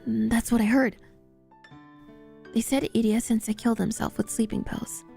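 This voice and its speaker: Woman playing a teenage boy, earnest, worried, halting, slightly high voice, visual novel, faint music behind. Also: flat delivery, vocal fry, mellow. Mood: concerned.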